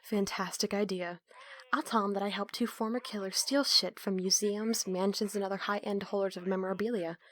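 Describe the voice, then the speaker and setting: Casting: woman to play a sarcastic young student sidekick to thieves, put-upon and snarky, medium pitch. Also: steady tone, a little fast, a bit bright, close to an everyday voice. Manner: sarcastic, bantering. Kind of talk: audio drama roleplay dialogue.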